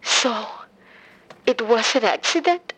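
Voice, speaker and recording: A woman's voice: fairly high pitch, animated, slow, a bit bright, exaggerated voice. An actress playing a grieving, bitter mother on a phone call in an audio drama, speaking tersely and with suspicion. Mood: shocked, disbelieving. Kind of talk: full-cast audio drama acting.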